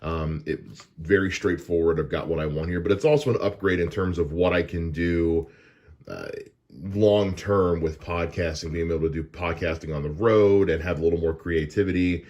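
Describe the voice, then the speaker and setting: A man's voice: moderate pace, lo-fi sound. A man hosting a podcast, casually walking through his studio gear on a dynamic broadcast mic, with frequent "uh" fillers. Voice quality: deep voice. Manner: relaxed and explanatory, with frequent "uh" fillers. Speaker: podcast host. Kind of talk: casual gear unboxing walkthrough.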